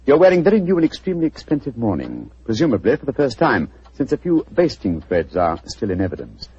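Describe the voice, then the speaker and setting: An actor playing a sharp, brisk middle-aged male detective, in 1940s radio acting. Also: medium pitch, wildly swooping pitch, full character voice. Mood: confident. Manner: crisp, confident deductions with small hesitations. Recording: dull old radio recording.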